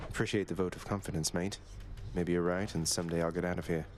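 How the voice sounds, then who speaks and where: An actor playing a wry, rebellious young underworld prince in a video game, with a dry, sardonic manner. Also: male voice, low-pitched, fairly even intonation, fast, a little hiss or hum, lightly acted.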